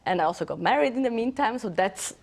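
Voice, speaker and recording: A woman's voice: on the high side, lively intonation, fast talker. A woman in her thirties from Switzerland, answering interview questions in a chatty way with frequent fillers. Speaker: interview guest. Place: TV studio.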